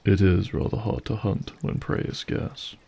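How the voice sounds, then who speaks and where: An actor playing a suave, menacing vampire leader in an audio drama, husky and gravelly, with formal, silky politeness. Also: male voice, fairly low pitch, mellow, heavily acted. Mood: courtly, pressing. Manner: formal, silky, measured politeness with veiled threat.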